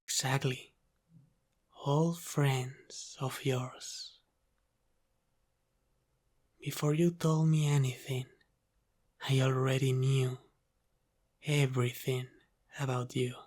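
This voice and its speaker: Man playing a slow, hushed, teasing mafia boss. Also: mid-range voice, level tone. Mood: knowing, confident. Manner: slow, deliberate, hushed, slipping into whispers. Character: teasing, protective Italian-American mafia boss, calmly in control. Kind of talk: ASMR roleplay, intimate and soft-spoken.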